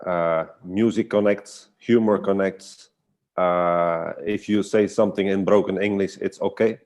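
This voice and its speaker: Very deep-voiced man, thoughtful and hesitant. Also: steady tone, measured pace, darkish tone. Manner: hesitant, searching for words. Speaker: guest artist and storyteller. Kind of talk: casual reflective online conversation.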